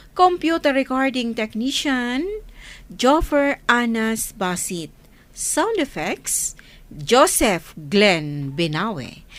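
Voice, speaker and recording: Animated woman radio announcer. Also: mid-range voice. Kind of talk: scripted narration.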